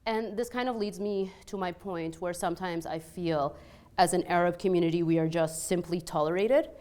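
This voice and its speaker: A woman's voice: on the low side, normal speed. A woman in her thirties from Egypt with a light Egyptian Arabic accent, telling personal stories on a panel in a chatty way, full of "like" and "you know". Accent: slight Egyptian Arabic, mostly Canadian-sounding. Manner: animated and chatty, many "like" and "you know" fillers, acts out quoted exchanges. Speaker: panelist, restaurant owner and peace scholar. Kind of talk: casual personal storytelling on a panel.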